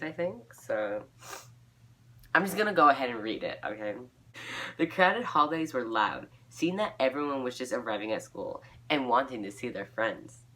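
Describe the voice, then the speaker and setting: A woman's voice: very deep voice, a bit bright. A woman reading her old fan fiction aloud, giggly and self-mocking, dropping into casual asides.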